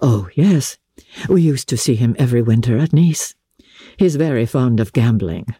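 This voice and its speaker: A woman's voice: very deep voice, expressive, normal speed, warm tone, breathy edge, dry recording, studio quality, heavily acted. A woman voicing a candid, self-assured young countess in a storybook reading, speaking simply and frankly. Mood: candid, easy.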